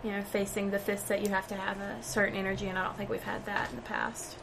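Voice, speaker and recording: A woman's voice: medium pitch, completely flat delivery, quick pace, vocal fry, noisy background. Young woman answering in a level voice. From North Carolina. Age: young adult. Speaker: college volleyball player. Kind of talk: interview answers.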